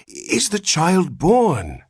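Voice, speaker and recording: A man voicing a nervous, frantic Southern gentleman awaiting news of his newborn, in a high, panicky, pleading voice. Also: huge pitch swings, very slow, slightly rough, dry recording, studio quality, exaggerated voice.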